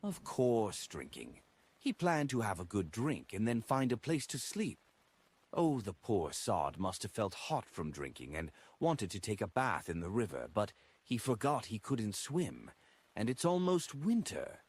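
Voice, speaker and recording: A man acts out a drunken man in an audiobook story. He rambles and drones ruefully, with pitch that swings widely and often jumps up from a low base. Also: huge pitch swings, measured pace, husky, heavily acted. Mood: rambling, sighing. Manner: rambling, droning. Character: drunken, sighing man reporting on a drowned friend. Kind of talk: audiobook character dialogue.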